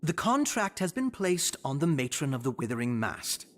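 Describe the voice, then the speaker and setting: An actor voicing a sly, glib broker for an assassins' guild in a video game, teasing and theatrical. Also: male voice, fairly high pitch, big pitch swings, normal speed. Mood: coolly persuasive. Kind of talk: dramatic video game voice acting.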